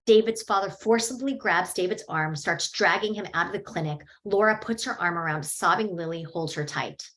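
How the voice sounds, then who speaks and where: A woman reading the stage directions at a screenplay table read in a steady, measured way. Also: on the low side.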